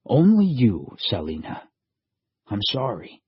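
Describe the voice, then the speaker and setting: A man voicing a mysterious old stranger who speaks in a grave, measured, gentle way. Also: medium pitch, huge pitch swings, husky, no room sound, heavily acted. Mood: sorrowful. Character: mysterious ancient male stranger, calm, sorrowful. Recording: dull lo-fi audio.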